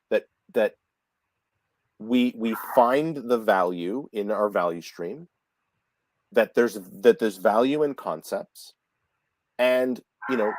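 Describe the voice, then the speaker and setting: Animated Canadian man on a video call. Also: medium pitch, slight background noise. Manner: animated, restarts words often, repeats phrases. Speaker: host. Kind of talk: casual video call chat. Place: office room, indoors. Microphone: video call webcam.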